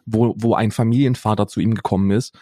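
A man's voice: on the low side, steady tone, brisk, dark tone, no room sound, clean studio sound. A man co-hosting a podcast in casual chat, a slangy and sardonic storyteller. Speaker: podcast co-host.

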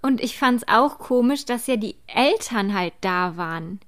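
A woman with a somewhat high voice co-hosts a casual podcast. She talks informally and with a teasing touch. The recording is very dry, with no room sound. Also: lively intonation, measured pace, fairly bright tone, no room sound. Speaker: podcast co-host.

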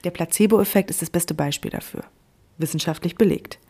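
A woman's voice: lowish voice, a little fast, gravelly, dark tone. A young adult woman speaking earnestly in a personal spiritual monologue, talking straight to the listener and now and then dropping to a whisper. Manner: earnest, addresses the listener directly, sometimes drops to a whisper.

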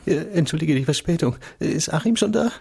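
A stressed intelligence boss in a radio drama, high voice, defensive and complaining, some noise behind. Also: male voice, animated, fairly quick, creaky, darkish tone. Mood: agitated, exasperated. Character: beleaguered male intelligence agency chief, defensive and exasperated.

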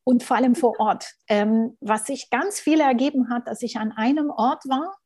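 A woman's voice: a little high, normal speed, darkish tone. A woman from Germany, a school founder, telling stories in a casual interview with many fillers and restarts.

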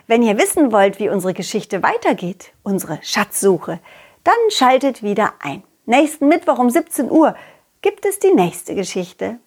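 Woman as an animated, high-voiced excitable dog. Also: measured pace, slightly rough, full character voice. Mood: cheerful storytelling. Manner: animated, giggly, childlike enthusiasm. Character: confident, excitable, loyal young dog hero telling stories. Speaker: puppeteer and storyteller. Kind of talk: children's audio play narration, addressing listeners.

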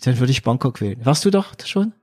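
A man's voice: mid-range voice, animated, fairly quick, darkish tone. A man from France interviewing a guest in a chatty, playful way. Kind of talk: casual interview talk.

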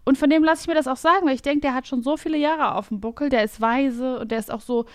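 A woman in her late twenties hosting a podcast, thinking out loud in casual chat with a reflective, self-mocking manner. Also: sits high, fast, no echo, studio quality. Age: late 20s. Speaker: podcast host.

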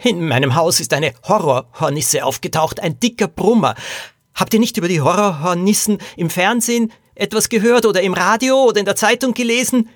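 A man's voice: sits high, fairly quick, dry recording, studio quality, voice pushed up, close to an everyday voice. A man voicing a small injured male jockey in a children's detective story, panicked, pleading and breathless. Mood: panicked, pained. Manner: breathless, gasping, agitated.

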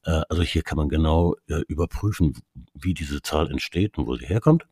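Low, husky man. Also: animated, brisk, a little mellow, no echo, clean studio sound. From Canada. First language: English. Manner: hesitant, many restarts and fillers. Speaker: media expert guest. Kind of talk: casual expert conversation.

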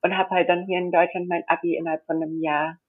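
A woman's voice: lowish voice, little pitch movement, fast talker. A young woman who grew up in India, telling her story as an interview guest in a chatty, rambling way with many fillers and frequent laughs. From grew up in India, born in Germany. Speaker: interview guest, model and entrepreneur. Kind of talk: casual interview chat. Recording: tinny phone-like line.

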